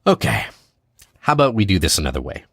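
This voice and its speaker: A man playing a cocky, needling American spy in an audio drama, casual and clipped, with quiet menace. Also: low voice, big pitch swings, fast, no room sound, studio quality, exaggerated voice. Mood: pressing, cold.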